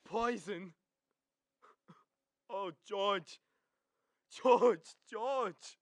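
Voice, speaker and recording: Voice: medium pitch, no room sound. Old druggist played by a young actor: volatile, broken by grief, dragging delivery, close dry sound. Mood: remorseful, anguished. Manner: slow, anguished. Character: drunken grieving old male druggist, volatile, remorseful. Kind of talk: radio drama acting.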